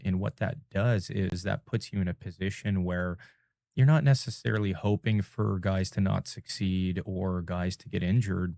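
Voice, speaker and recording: A man's voice: sits low, gravelly, a little mellow, dry recording, studio quality. A man in his forties, a guest talking earnestly in a casual interview, often repeating words as he builds a point. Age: 40s.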